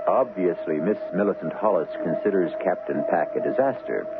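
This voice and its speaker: An actor as a wry, knowing host, giving theatrical scripted narration for an old-time radio mystery. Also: male voice, low-pitched, expressive. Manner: wry, theatrical, deliberate phrasing. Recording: narrow, tinny radio broadcast, music behind.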